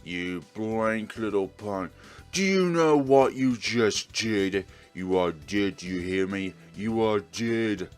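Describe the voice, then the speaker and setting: A man acts out a furious, menacing criminal boss in a visual novel. The voice is on the low side and stretches out threats with snarling emphasis, over faint music. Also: expressive, slow pace. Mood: menacing anger.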